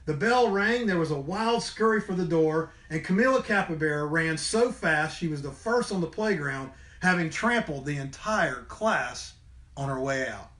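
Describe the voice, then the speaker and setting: A man with a fairly high voice reads a children's storybook aloud. His delivery is expressive, in the manner of a schoolteacher at story time. The room adds noticeable echo. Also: normal speed. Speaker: storybook reader, teacher. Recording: roomy indoor echo.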